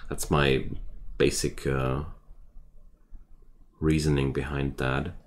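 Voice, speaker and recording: A man's voice: rumbling low, little pitch movement, slow. A man who is not a native English speaker, chatting casually and rambling with viewers while streaming a game. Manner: rambling and chatty, restarts thoughts.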